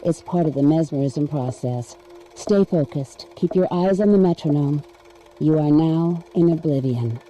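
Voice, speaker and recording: An actress playing a calm female hypnotist in a dramatic video game, giving measured, clinical commands to a patient. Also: deep voice, a little hiss or hum, poor audio. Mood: soothing, commanding.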